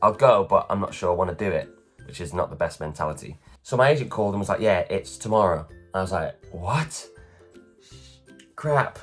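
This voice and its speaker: A man in his thirties from England, telling self-deprecating stories in a casual morning vlog, his voice low and worn after a late night. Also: expressive, brisk, breathy edge, faint music behind. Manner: chatty, self-deprecating, acts out others' lines. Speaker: vlogger, performer. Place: at home.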